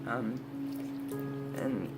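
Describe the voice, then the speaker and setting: A woman's voice: extremely slow, loud music behind the voice. An American woman in her sixties telling personal memories in a casual, reflective, conversational way. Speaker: storytelling vlogger. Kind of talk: personal reminiscing, casual storytelling.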